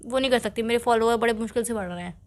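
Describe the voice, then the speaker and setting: Woman acting as fast-talking, cute stubborn girlfriend. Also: on the high side, a little mellow, lightly acted. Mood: protesting, playful. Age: young adult. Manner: pleading, protesting. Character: cute, devoted but stubborn young girlfriend. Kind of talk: staged phone-call skit, conversational.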